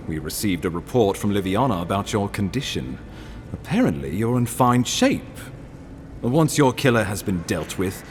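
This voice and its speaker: An actor playing a grave, courtly imperial ambassador in a video game, speaking formally and deliberately. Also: male voice, fairly low pitch, darkish tone, slight background noise. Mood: measured, advising. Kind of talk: scripted video game dialogue.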